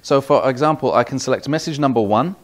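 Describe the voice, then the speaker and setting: A British man in his forties presents a product demonstration. His voice sits at a middle pitch, and he explains each step methodically. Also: fast talker. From United Kingdom. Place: small office demo room, indoors.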